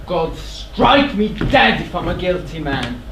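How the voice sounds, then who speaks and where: A man's voice: high voice, big pitch swings, gravelly, recorded from a distance, full character voice. A young man playing an earnest, defiant accused royal brother-in-law in a stage tragedy, with declamatory delivery. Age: young adult. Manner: slow, declamatory. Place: theatre stage. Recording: echoey theatre from afar, faint noise.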